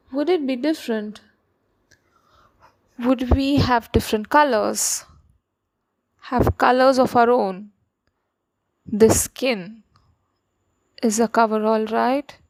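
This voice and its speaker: A woman reads verse aloud with deliberate pauses between short phrases. She goes extremely slowly in a slightly high voice. The sound is dry, with no room echo. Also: fairly even intonation. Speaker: poet reading aloud. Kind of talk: poetry reading.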